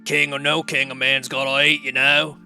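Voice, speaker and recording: A man playing a gritty, forceful fantasy blacksmith. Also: medium pitch, level tone, moderate pace, soft background music. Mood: matter-of-fact. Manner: blunt, grumbling working-man talk. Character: weary, disgruntled overworked male blacksmith, gruff and plain-spoken. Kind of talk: audio drama acting.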